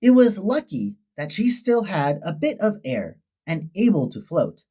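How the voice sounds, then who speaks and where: Very animated woman reading a children's story. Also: lowish voice, unhurried, husky. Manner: dramatic, expressive storytelling. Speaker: storybook narrator. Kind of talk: scripted children's story read-aloud.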